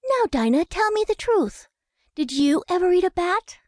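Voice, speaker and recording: A woman's voice: very high-pitched, very animated, normal speed, a little mellow, dry recording, clean studio sound. A woman voicing a curious, chatty young girl who muses primly aloud to herself in a storybook reading. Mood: earnest. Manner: musing aloud to herself, prim. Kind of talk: audiobook character acting.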